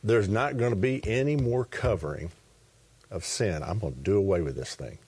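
A man's voice: on the low side, normal speed, a little mellow, slightly rough. A man hosting a conversational Bible talk, affirming his guest and then explaining scripture himself. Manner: affirming, chiming in with agreement.

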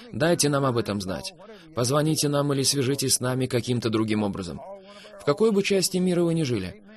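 Fervent preaching man, lively pitch. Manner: fervent, emphatic, swings between loud bursts and soft drawn-out phrases. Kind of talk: preaching conversation.

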